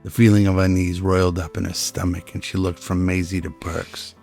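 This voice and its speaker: Male narrator for radio drama: deep voice, dramatic scripted storytelling over a faint music bed. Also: level tone, brisk, gravelly, a little mellow.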